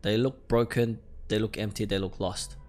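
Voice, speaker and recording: Low-voiced man doing casual game commentary. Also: flat delivery, moderate pace, darkish tone. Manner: slangy, reactive, addresses viewers directly. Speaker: gaming video host. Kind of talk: casual let's play commentary, reading game text aloud. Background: music.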